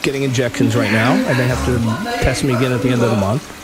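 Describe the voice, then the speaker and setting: A man in casual radio banter, speaking in a defensive, halting, grumbling way. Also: mid-range voice, fairly even intonation, over loud music. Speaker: show regular being ribbed.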